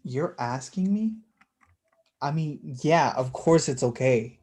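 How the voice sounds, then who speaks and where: Woman playing a defensive younger brother, swooping low voice. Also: lively intonation, takes it slowly, a little mellow. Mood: hurt, bitter. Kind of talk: staged play reading, naturalistic dialogue.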